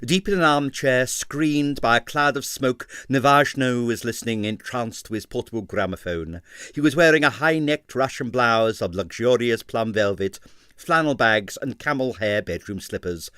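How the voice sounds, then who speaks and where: A man's voice: mid-range voice, moderate pace, sharp tone, clean tone, dry recording, studio quality. A man reading an abridged novel aloud, narrating expressively and voicing the characters. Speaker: audiobook reader. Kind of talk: dramatized audiobook narration.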